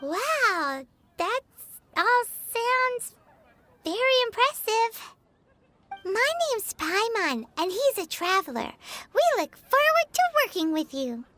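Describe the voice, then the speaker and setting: A woman voicing a tiny, childlike, perky fairy companion in a video game, with squeaky, simple speech. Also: sing-song, takes it slowly, sharp tone, heavily acted. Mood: friendly, impressed. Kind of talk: scripted video game dialogue. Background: music.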